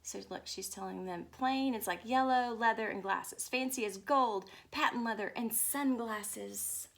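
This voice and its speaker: A woman storyteller reading a picture book to young children in an animated way, putting questions to them and explaining words. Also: fairly high pitch, a little slow, gravelly, a little hiss or hum. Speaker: storyteller, former teacher. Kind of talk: children's picture book read-aloud with asides to young listeners.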